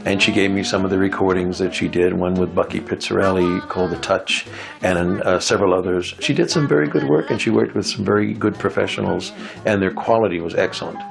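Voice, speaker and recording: A man's voice: low voice, fairly even intonation, brisk, creaky. A man recalls a friend's work in a matter-of-fact way during an interview. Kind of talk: interview recollection. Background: music underneath.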